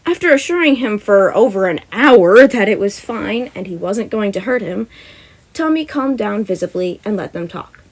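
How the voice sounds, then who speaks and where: A woman's voice: medium pitch, animated, moderate pace, poor audio. A young adult woman with a mid-range voice speaks informally, like someone reading fan fiction for her own listeners. Her delivery is casual and unpolished, with small stumbles and self-corrections. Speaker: podfic reader and channel host. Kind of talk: casual chatty intro and amateur story narration.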